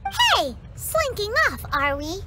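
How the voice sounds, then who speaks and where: A tiny cheeky fairy, very high lively voice, sassy teasing game sidekick, loud music behind. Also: female voice, slow, heavy background noise, exaggerated voice. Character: tiny cheeky floating female fairy companion. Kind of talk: video game dub.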